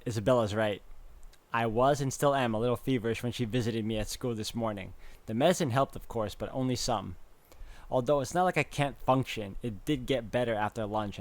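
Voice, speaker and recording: A man's voice: mid-range voice, lightly acted. A man playing a brooding, uneasy protagonist, narrating a visual novel in a dramatic, introspective first-person style.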